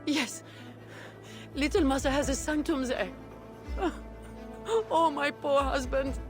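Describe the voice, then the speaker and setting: A worried, devout medieval wife in a video game. Her voice is high and halting, slow and pleading, over faint music. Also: fairly even intonation. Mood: distraught, worried. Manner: fretful, hesitant, pleading. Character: anxious, guilt-ridden, devout medieval wife. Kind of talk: video game dialogue acting.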